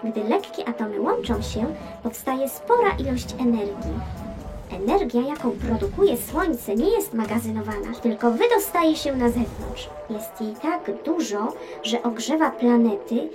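A woman's voice: on the high side, lively intonation, a little slow. A woman voicing an intelligent, friendly female space probe, explaining simply in a scripted children's science lesson. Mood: instructive. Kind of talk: scripted educational narration. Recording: music playing behind the voice.

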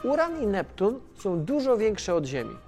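An actor playing an excitable, scatterbrained, inquisitive man who rambles and repeats himself in a children's educational audio drama. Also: high-pitched, normal speed, soft background music, heavily acted. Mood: curious, enthusiastic. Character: Tomasz, scatterbrained, excitable, inquisitive male friend of space probe. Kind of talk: children's educational radio play.

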